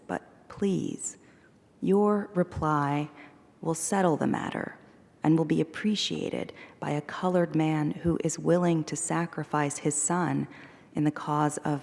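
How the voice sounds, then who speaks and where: A woman poet giving a measured, deliberate reading of her poems at a live event. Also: deep voice, gravelly, warm tone, long reverb tail. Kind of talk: poetry reading. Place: performing arts center hall.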